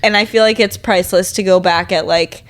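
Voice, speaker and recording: A woman's voice: lowish voice, steady tone, fairly quick. A young woman co-hosting a podcast, chatting casually and comedically with family, with playful, sweary teasing. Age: young adult. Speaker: podcast co-host.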